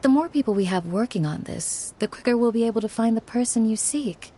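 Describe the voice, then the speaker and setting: An actress playing a calm, protective female guardian who speaks earnestly and reassuringly in a video game. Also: a little high, a little fast, slightly rough. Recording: game music behind.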